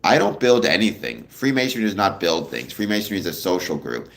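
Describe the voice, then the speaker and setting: Deep, gravelly-voiced man chatting on a livestream. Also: fairly quick. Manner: relaxed, rambling, many ums, answers chat questions. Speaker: livestream host. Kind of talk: casual livestream chat reading viewer comments.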